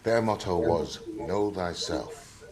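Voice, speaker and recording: A man's voice: low voice, slow, crowd noise. An actor playing a man who recounts the past in a mystery thriller game, in a naturalistic style. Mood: reflective. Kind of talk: naturalistic screen acting. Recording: quiet game audio with background babble.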